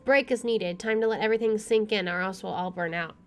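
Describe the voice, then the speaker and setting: A woman's voice: medium pitch, fast. Woman reading a visual novel aloud. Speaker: let's player. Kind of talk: reading game narration aloud with casual commentary.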